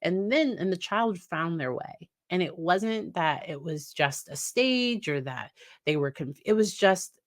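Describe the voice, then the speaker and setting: A woman in her thirties, a psychologist talking casually to camera about parenting, with a naturally deep voice and a conversational manner. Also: lively intonation, a little slow, no echo, studio quality. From United States. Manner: conversational, warm laughs, acts out quoted dialogue in a higher voice. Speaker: licensed psychologist, host. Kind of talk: casual educational talk to camera.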